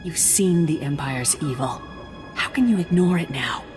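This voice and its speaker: An actress playing a dutiful young army lieutenant in a video game, firm, earnest and measured. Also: female voice, sits low, expressive, darkish tone, roomy sound. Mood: resolute. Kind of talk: scripted video game acting. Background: music.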